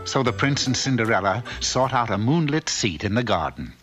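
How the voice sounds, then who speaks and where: A man's voice: mid-range voice, big pitch swings, normal speed, fairly bright tone, faint music behind. A man playing a droll storybook narrator, reading scripted fairy-tale narration for a cartoon. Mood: wry. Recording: dull lo-fi old cartoon soundtrack.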